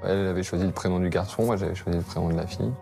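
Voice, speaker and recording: A man's voice: deep voice, steady tone, very fast, gravelly, soft background music. A man in his thirties, an expectant father, talking in an easygoing way, chuckling and a little hesitant. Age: 30s. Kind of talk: casual interview chat.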